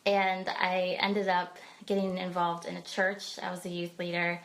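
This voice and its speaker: Low, flat-voiced woman telling her story. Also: poor audio. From Pennsylvania, United States. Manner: hesitant, many pauses and fillers. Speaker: guest speaker. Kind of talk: personal testimony in a podcast interview.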